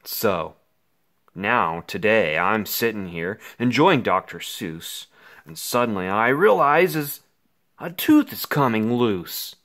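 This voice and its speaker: Theatrical man reading a children's story, wildly swooping. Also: lowish voice. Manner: theatrical, expressive, with dramatic pauses. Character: anxious, melodramatic five-year-old boy narrating his woes. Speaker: picture book reader. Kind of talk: dramatic read-aloud of a rhyming children's story.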